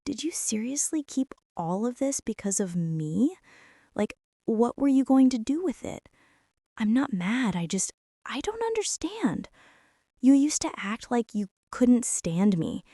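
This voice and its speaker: A woman playing a young former bully in an intimate ASMR roleplay, speaking in a hushed, hesitant way that often slips toward a whisper. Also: fairly high pitch, big pitch swings, unhurried, no room sound, studio quality, exaggerated voice. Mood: uneasy, confused. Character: young female former bully, stunned, teasing, softening into tenderness.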